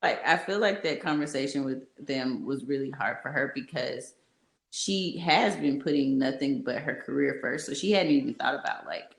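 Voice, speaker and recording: A woman hosting a casual podcast recap, animated and laughing as she acts out quotes. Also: very deep voice, moderate pace, creaky. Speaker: podcast host.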